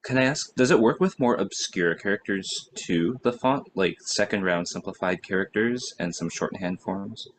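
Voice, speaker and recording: Male participant, low-pitched, casual question-and-answer delivery, noisy call audio. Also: normal speed, hardly any pauses. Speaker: workshop participant asking questions.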